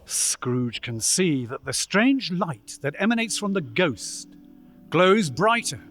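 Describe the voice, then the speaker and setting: A man narrating a radio play as dramatic, deliberate storytelling with suspenseful pauses. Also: fairly high pitch, very animated, fairly bright tone, soft background music, exaggerated voice. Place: live stage performance in a church.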